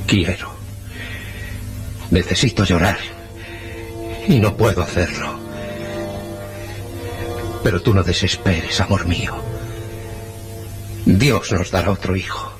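An actor playing an anguished young husband, pleading solemnly in an old-fashioned radio serial. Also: male voice, low voice, soft background music. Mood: anguished, tender. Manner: solemn, drawn-out, melodramatic.

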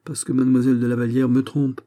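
A man's voice: medium pitch, little pitch movement, quick pace, dark tone, voice pushed up, close to an everyday voice. A man playing an anguished young nobleman in a historical audiobook, earnest, polite and melodramatic as he pleads. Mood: dejected. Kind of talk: dramatised audiobook of a historical novel.

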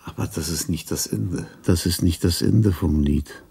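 An actor playing a dying, lonely old composer in a radio play, musing haltingly in a melancholy, bitter way. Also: male voice, sits low, vocal fry, slightly rough, full character voice. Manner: halting, musing, repeating himself. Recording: loud piano music over the voice. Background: piano music.

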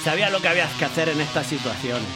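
Casting: hombre andaluz, voz aguda, relato directo, guitarra fuerte detrás. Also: male voice, on the high side, animated, very fast. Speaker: band member recounting events. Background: loud guitar music.

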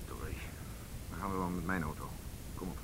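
An actor playing a brusque, impatient police commissioner in a radio drama, with a sardonic, informal manner. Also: male voice, fairly even intonation, a little fast. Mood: irritated. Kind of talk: Dutch radio drama acting. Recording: rumbling, noisy old radio drama recording.

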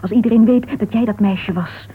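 A woman's voice: mid-range voice, fairly quick, husky. A woman playing an anxious young woman under suspicion in a radio play, defensive and edgy. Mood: bitter, anxious. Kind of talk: Dutch radio play acting. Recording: noisy, narrow old radio recording.

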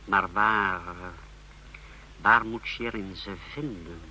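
Sharp, deferential spy chief, radio drama. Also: male voice, fairly low pitch, takes it slowly, heavily acted, rough recording. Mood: subdued, puzzled. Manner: formal, deferential, emphatic when reporting. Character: deferential but zealous male intelligence chief serving a dictator. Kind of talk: old radio play acting. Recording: old radio recording with hiss.

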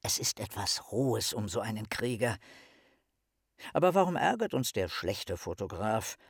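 An actor playing an eccentric, bookish young philosopher with a wry, self-important theatrical manner in a radio play. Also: male voice, mid-range voice, no room sound, studio quality. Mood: indignant. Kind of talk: dramatic reading of letters in radio play.

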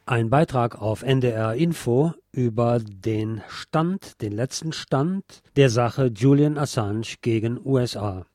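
A man's voice: mid-range voice, slow pace, few pauses, a little mellow, no room sound, faint music behind. A man hosting a radio show, presenting and reading a scripted monologue.

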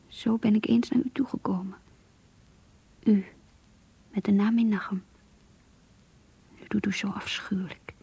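An actress playing an anguished, lovesick young woman confiding in her diary in a radio play, hushed and intimate. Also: medium pitch, steady tone, unhurried, long pauses, no room sound. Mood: anguished, pleading. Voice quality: breathy, hushed, whispery. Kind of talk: Dutch radio play monologue.

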